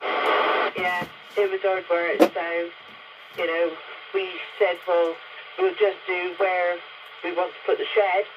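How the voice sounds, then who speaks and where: A woman's voice: on the low side, little pitch movement, takes it slowly, long pauses. A woman, chatting in a plain, colloquial way over CB radio. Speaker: CB radio operator. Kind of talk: casual radio chat. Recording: dull, very noisy CB radio link.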